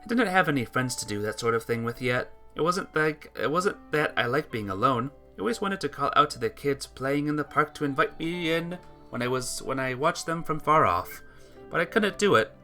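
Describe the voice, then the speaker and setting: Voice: medium pitch, lively intonation, normal speed, sharp tone. A person reading a sentimental story aloud in an earnest narrating style, stumbling now and then. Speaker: visual novel player reading the text aloud. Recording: music behind the voice.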